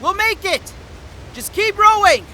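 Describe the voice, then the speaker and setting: A man's voice: extremely high pitch, sing-song, unhurried, pushed and bright, full character voice. A man playing a defiant, scheming ex-lawman adventurer in an audio drama, with a sly, emphatic delivery. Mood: urgent shouting. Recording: noisy, with rain and ambience behind.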